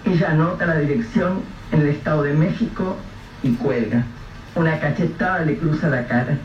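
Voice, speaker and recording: A man with a Rioplatense accent narrating a radio drama in a measured, vivid storytelling manner. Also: fairly high pitch. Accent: Rioplatense Spanish. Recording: echoey, distant, dull, faint hiss.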